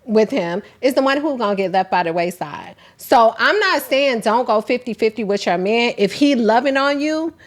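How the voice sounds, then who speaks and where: A woman's voice: a little high, animated, normal speed, hardly any pauses. A woman hosting a casual chat, talking bluntly and pressing others with questions.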